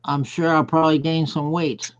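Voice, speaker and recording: A man's voice: fairly high pitch, level tone, normal speed, clean tone. An older man chatting casually on an online group call, speaking hesitantly and repeating himself. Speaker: chat participant. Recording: dull online call audio.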